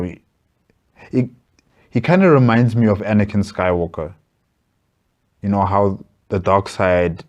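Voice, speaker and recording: A man's voice: low voice, a little mellow. A man hosting a reaction video, giving casual commentary and thinking aloud in a hesitant, reflective way. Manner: hesitant, reflective, repeating words.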